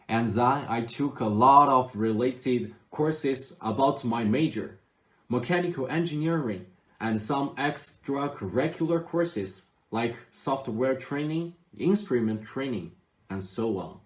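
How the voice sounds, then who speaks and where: A man's voice: medium pitch, slow pace. A man in his twenties from China with a Chinese accent, giving a formal, carefully worded testimonial to camera. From Xinjiang, China. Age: 20s. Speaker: online student. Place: small indoor room at home. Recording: echoey room.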